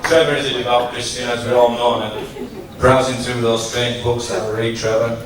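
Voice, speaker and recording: A man's voice: medium pitch, level tone. Man giving a speech in an echoey hall. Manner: teasing, rhetorical, deliberate storytelling. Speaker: after-dinner speaker at a tribute dinner. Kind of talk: humorous tribute speech to a live audience. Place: large function room at a dinner, distant microphone. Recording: echoey noisy hall, from far off.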